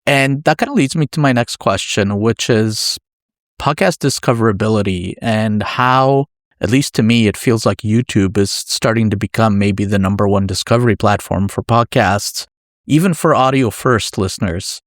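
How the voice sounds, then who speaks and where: A man's voice: fairly low pitch, normal speed, no room sound, studio quality. A man hosting a podcast interview in a conversational way, building thoughtfully toward his questions.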